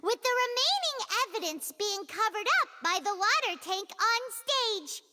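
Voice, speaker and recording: A woman voicing a tiny, excitable female fairy companion in a game cutscene, eager and self-important as she lays out a theory. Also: very high voice, moderate pace, noisy background. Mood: eager explaining. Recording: game audio with loud music.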